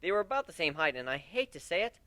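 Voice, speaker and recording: An expressive male narrator reads a first-person story in a conversational way. His voice sits fairly high and swings widely in pitch, recorded close and dry. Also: quick pace, no room sound. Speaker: narrator of a dramatic reading.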